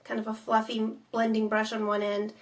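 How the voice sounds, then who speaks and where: A young woman whose fairly high voice carries a slight rasp from a cold. She chats easily and in detail about makeup as a vlog co-host. She sits a little back from the microphone. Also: monotone, a little fast, far from the mic. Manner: chatty, detailed product talk. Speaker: beauty vlogger co-host. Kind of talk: casual chat reviewing makeup.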